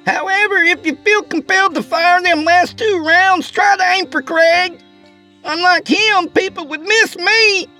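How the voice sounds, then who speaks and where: Brash, scrappy storybook country woman, acted by a man, high-pitched with creaky edges, bossy and sarcastic. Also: big pitch swings, moderate pace, soft background music, full character voice, rough recording. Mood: defiant sarcasm. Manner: folksy, bossy, insulting.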